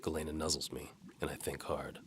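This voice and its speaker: A man's voice: a bass voice, monotone, a little fast. A man playing a sardonic, world-weary bow for hire, narrating his own story in noir audio drama style. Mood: dry, wry.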